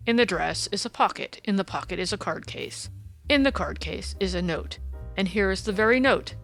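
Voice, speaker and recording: A woman voicing a gruff, irritable policeman in an audiobook, snarling and emphatic with indignation. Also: medium pitch, animated, moderate pace, a bit bright, soft background music. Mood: insistent. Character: gruff, irritable, blustering male police detective.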